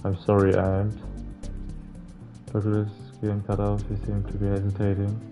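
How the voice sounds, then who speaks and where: A man casually reading a visual novel's text aloud as a playthrough host, halting at times and adding offhand asides. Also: fairly low pitch, monotone. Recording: game music behind the voice. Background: game music.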